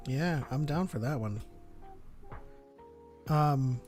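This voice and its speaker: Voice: mid-range voice, slow, darkish tone, faint music behind. A podcast host chatting casually in a fan discussion. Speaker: podcast host reading viewer chat.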